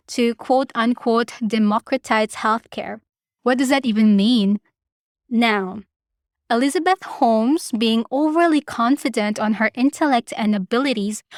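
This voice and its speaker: Female podcast host, higher-pitched voice, informal and opinionated commentary addressed to listeners, very dry recording. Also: no echo. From Philippines.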